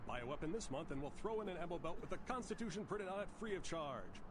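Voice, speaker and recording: An actor playing a pushy, mock-serious radio commercial announcer with emphatic salesmanship. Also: male voice, on the high side, sing-song, fast talker, background noise.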